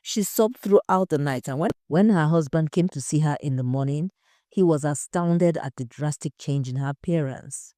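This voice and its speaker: Female narrator, Nigerian accent, scripted crime storytelling: dark soft tone, close and dry. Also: low-pitched, normal speed, dry recording.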